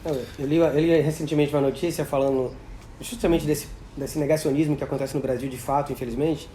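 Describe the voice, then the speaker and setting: Mellow fast-talking man. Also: fairly high pitch, level tone, slight background noise, low-quality recording. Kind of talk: casual chat.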